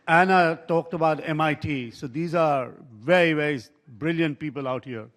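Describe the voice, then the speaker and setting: A man's voice: on the high side, normal speed, fairly bright tone. A man moderating a panel, effusive in his praise, with many fillers. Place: conference hall.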